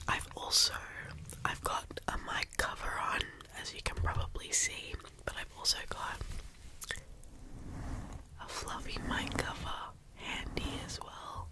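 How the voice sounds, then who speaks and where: Young woman with a cold doing ASMR: slow, soft close-mic whispering, stuffy and slightly croaky. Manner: soft whispering, slow and unhurried with frequent ums. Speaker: ASMR creator. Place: small bedroom indoors. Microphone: close studio mic with foam cover.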